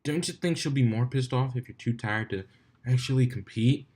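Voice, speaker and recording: A man's voice: medium pitch, normal speed, darkish tone, slightly rough, lightly acted. A man voicing a cocky young male pegasus stunt flyer from a story, with a casual, teasing manner.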